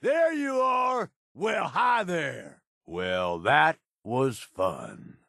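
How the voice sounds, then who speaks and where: An actor playing a tough, cynical middle-aged raider henchman in a video game, with a gravelly voice and rough, folksy, sardonic slang. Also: fairly high pitch, very animated, extremely slow, sharp tone, no echo, studio quality, full character voice. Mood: relaxed, sardonic. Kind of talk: video game character voice lines.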